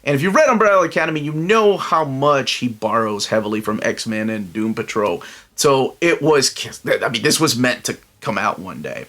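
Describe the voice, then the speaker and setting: A man's voice: medium pitch, moderate pace, a bit bright. A middle-aged man from Peru hosting a casual solo video, chatty and enthusiastic, wandering into asides full of fillers. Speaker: comic book collector channel host. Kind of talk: casual solo review vlog.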